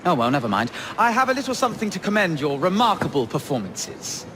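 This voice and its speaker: A man voicing an excitable, chatty, flamboyant Victorian bookie with a London accent in a video game. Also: high-pitched, fast, background noise. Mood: flattering, eager. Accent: London English. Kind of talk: video game cutscene acting.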